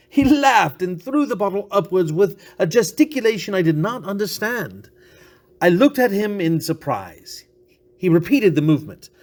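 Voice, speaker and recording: A man gives a dramatic reading of literary prose. His voice is a little high for a man and moves animatedly up and down. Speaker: story reader.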